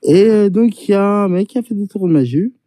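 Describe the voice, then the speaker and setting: Man, very dark tone, insistent, argumentative, dry close sound. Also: high-pitched, animated, fairly quick, no room sound. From Paris. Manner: insistent, argumentative, talks over others. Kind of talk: heated casual debate.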